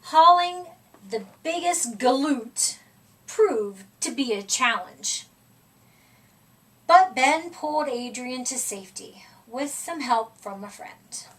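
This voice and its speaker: Female storybook reader, high pitch, slow and deliberate with dramatic emphasis, recorded at a distance from the mic. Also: expressive, fairly bright tone. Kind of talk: expressive read-aloud of a children's picture book.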